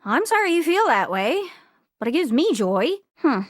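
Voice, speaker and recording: Squeaky, excitable little talking globe. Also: female voice, normal speed, no echo, studio quality. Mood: hurt, sulky. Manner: chatty, gushing, impatient, folksy. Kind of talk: animated video game character acting.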